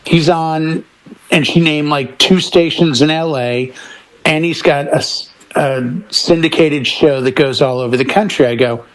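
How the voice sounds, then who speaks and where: A man's voice: a little high, measured pace, fairly bright tone, rough recording. A middle-aged man chatting casually as a DJ on a panel, telling anecdotes and acting out the dialogue in them. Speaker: DJ and talk show panelist. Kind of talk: casual chat and storytelling.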